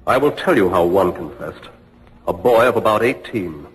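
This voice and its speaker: An actor playing a grave, dignified imprisoned navy captain, formal and bitterly restrained, in an old radio drama. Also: male voice, sits low, lively intonation, moderate pace, breathy edge, big-room sound, exaggerated voice, rough recording. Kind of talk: 1940s radio acting.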